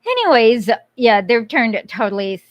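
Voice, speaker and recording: A woman streamer talking casually to her viewers in a rambling, quick way, often interrupting herself. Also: on the high side, sing-song, no echo, highs cut off. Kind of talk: casual livestream chat.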